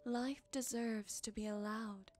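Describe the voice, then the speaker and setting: Woman acting a caring wise witch for asmr, slow and soothing, higher voice, music behind. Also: steady tone, darkish tone. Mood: tender, reassuring. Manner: soothing, unhurried, tender. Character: gentle wise forest witch, caring and softly teasing. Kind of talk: ASMR roleplay.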